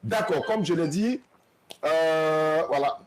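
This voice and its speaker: A man, hosting as an imam, talking calmly on the phone and mixing in Arabic blessings. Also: a little high, takes it slowly. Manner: lively, calm, mixes in Arabic blessings. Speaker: imam host. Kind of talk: phone conversation. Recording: noisy recording.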